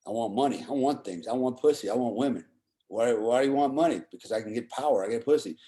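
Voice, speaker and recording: Middle-aged male, medium pitch, blunt opinionated conversational storyteller, salty language, frequent check-ins with the listener. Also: quick pace, mellow. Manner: often seeks agreement with "right?" and "you know".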